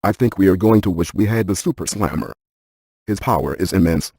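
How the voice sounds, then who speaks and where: A man playing an analytical ghost-hunting scientist in a video game, speaking in a precise, technical, deadpan way. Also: sits low, brisk, slightly rough, dry recording, clean studio sound. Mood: deadpan, cautious. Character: earnest, analytical male scientist ghost hunter, matter-of-fact.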